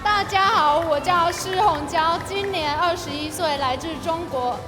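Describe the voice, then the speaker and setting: A woman's voice: extremely high pitch, normal speed. A woman in her twenties from China, introducing herself as a pageant contestant. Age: 20s. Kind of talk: staged self-introduction. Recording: reverberant hall with music behind.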